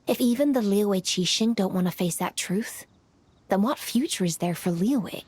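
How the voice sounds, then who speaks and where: Casting: actress for a proud young female official in a game, mid-pitched, crisp and outspoken. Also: lively intonation, normal speed, a little mellow, slight background noise, lightly acted. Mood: sardonic, resolute. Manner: crisp, assertive, formal. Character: proud, frank, sceptical young noblewoman official. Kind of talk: video game voice acting.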